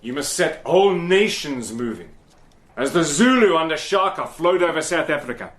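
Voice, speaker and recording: An actor narrating in the first person and playing a cool, persuasive British agent in a radio drama, calm and measured. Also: male voice, on the high side. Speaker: narrator and lead character.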